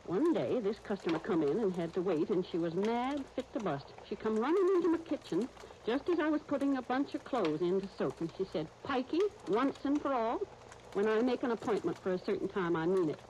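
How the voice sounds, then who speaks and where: An actress playing a folksy, bossy older dressmaker, rambling through stories briskly in a 1930s radio advertising skit. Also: female voice, medium pitch, expressive, a little mellow, lightly acted, low-quality recording. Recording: hissy 1939 radio disc recording.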